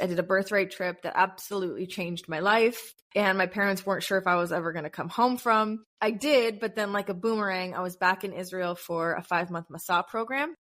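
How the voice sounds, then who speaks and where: A woman in her thirties from the United States, a podcast guest chatting casually and telling lively stories. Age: 30s. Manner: animated storyteller, bursts into high-pitched acted quotes and laughs. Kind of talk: casual interview chat.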